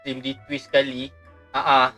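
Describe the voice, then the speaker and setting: A man's voice: mid-range voice, monotone, moderate pace, bright, forceful tone, soft background music. A young man with a Malaysian accent chatting casually and playfully as he livestreams a game. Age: young adult. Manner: playful, jokey.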